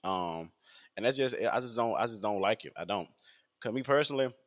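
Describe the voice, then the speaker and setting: Low-voiced guy in his late 20s, rambling podcast talk, says like a lot. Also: expressive, brisk. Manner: rambling, repeats himself, many "like" fillers. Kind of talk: casual podcast monologue.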